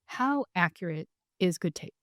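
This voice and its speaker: A woman's voice: on the low side, expressive, moderate pace, fairly bright tone, dry recording, clean studio sound. Woman host, friendly and explanatory. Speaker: podcast host. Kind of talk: interview hosting.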